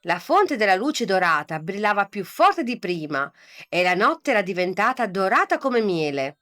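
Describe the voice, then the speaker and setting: A woman reading a children's bedtime fairy tale in a soft, calm, lulling voice. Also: mid-range voice, moderate pace. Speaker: storyteller. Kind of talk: scripted bedtime story narration.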